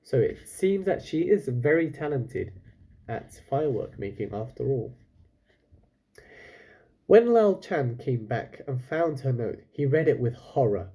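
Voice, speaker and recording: A man reading a children's story aloud as a teacher would, pausing to explain and wonder aloud to his listeners. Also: mid-range voice, sing-song, mellow, slightly rough, poor audio.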